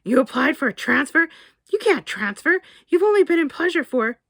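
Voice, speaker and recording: A woman voicing a loud, hokey, boastful male lawyer ghost in a short story, brash and excitable. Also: sits high, moderate pace, no echo, studio quality, pitched above the performer's own voice, exaggerated voice. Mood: indignant. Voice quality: loud fake hokey laugh. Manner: brash, stuttering with excitement, repeats himself.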